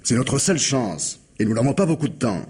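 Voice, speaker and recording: An actor playing a scheming, persuasive husband in a French radio drama, with an accent from France and an insistent, coaxing way of reasoning. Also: male voice, mid-range voice, sing-song, brisk, darkish tone, husky. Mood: insistent, explanatory. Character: scheming, persuasive husband desperate to save his home.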